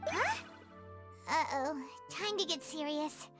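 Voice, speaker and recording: Tiny childlike game fairy, extremely high voice, swooping intonation, blunt, chatty, music behind. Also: female voice, sing-song, slow, full character voice. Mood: blunt, frank. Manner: speaks of self in third person. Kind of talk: video game voice acting.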